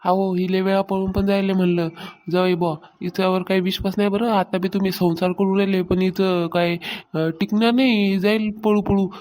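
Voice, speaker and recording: A gossiping, meddling village woman from a comedy cartoon, acted by a woman. She has a rural Maharashtrian accent and a fairly even, middle-pitched voice. She keeps needling as she insists on telling her tales. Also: normal speed, natural voice. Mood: gossiping, insistent. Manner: taunting, insistent storytelling. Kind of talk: Marathi comedy cartoon dialogue.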